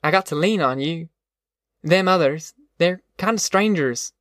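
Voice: mid-range voice, unhurried, a bit bright, no room sound, clean studio sound, pitched above the performer's own voice, lightly acted. A narrator voicing a tough, determined middle-aged farm mother in an audiobook, with blunt, folksy rustic speech. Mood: earnest, steady. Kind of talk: audiobook character voice.

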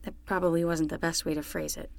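A woman's voice: low-pitched, flat delivery, quick pace, vocal fry, no room sound. A woman playing a young summer nanny, patient and wry, talking casually and frankly in a naturalistic fiction podcast.

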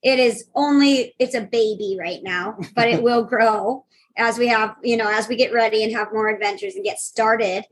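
High-voiced woman, 30s, chatty podcast guest, lots of ums and laughs. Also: normal speed, breathy edge, low-quality recording. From North Dakota, USA. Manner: laughs often, chatty with fillers. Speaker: guest traveler. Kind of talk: casual podcast chat.

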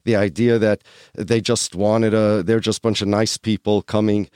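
A man's voice: lowish voice, little pitch movement, normal speed, darkish tone, clear, resonant tone, no echo, studio quality. A man hosting a podcast interview, asking probing questions in eager, stammering bursts. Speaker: podcast host.

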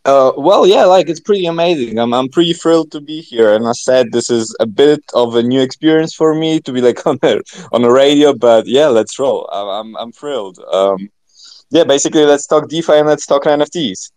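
A man talking as a podcast guest in casual, informal chat, rambling and halting with many filler words. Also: mid-range voice, a little mellow, no room sound. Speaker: podcast guest, project founder.

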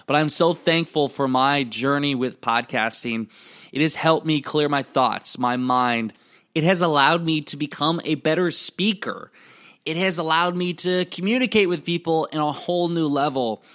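Excitable man with an Iowa accent. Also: fairly high pitch. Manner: excitable, speaks fast when excited. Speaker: podcast host. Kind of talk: reflective solo podcast monologue.

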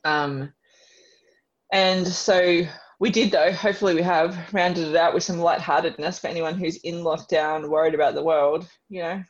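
A woman's voice: fairly low pitch, fairly even intonation, moderate pace, muffled recording. A woman co-hosting a podcast, chatting casually with a joking, teasing manner. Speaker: podcast co-host. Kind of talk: casual chat.

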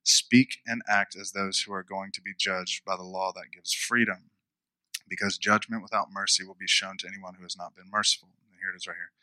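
A man preaching a conversational sermon, repeating phrases for emphasis, with a smooth radio-style voice. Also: low voice, flat delivery, a little fast, pushed and bright, no room sound, poor audio. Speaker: pastor.

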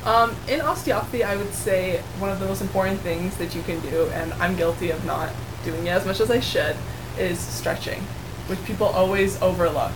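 A woman's voice: lowish voice, moderate pace. A young woman, a manual osteopath and Polish native speaker, chatting casually in an interview, friendly and a bit hesitant, with restarts. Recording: noisy room ambience.

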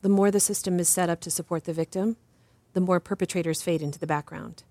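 A woman acting as an assured advocate interviewed in an audio drama, explaining things in a measured, didactic way. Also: lowish voice, fairly even intonation, a little mellow, dry recording. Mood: composed, instructive. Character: composed, assured female advocate explaining justice reform. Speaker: interview guest.

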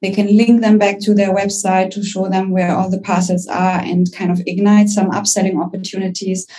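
A woman's voice: mid-range voice, little pitch movement, normal speed, mellow. A young woman with a German accent, a company founder speaking confidently as a guest on a business podcast. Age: young adult.

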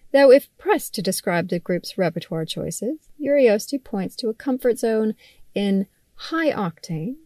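A woman reading her own magazine article aloud as scripted narration, articulate and measured, with wry, pointed emphasis. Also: mid-range voice, a little mellow, no echo.

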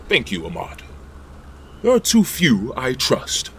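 A man voicing a proud, aging lord of an empire, imperious and quick to anger. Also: mid-range voice, wildly swooping pitch, a bit bright, slight background noise, heavily acted. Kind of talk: audio drama acting.

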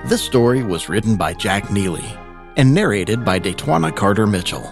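A man's voice: on the low side, lively intonation, moderate pace, creaky, music in the background. A man reading a scripted outro as the announcer of a podcast.

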